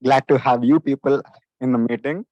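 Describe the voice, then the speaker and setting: A man's voice: mid-range voice, steady tone, normal speed, dry recording, dull audio. A young man hosting a student club meeting, casually welcoming guests onto the stage with friendly encouragement. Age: young adult. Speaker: meeting host.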